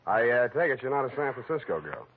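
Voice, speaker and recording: An actor playing a cynical, hard-boiled American private detective in a 1940s radio drama, dry and offhand. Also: male voice, mid-range voice, sing-song, quick pace. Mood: sardonic. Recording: noisy old radio, tinny narrow band.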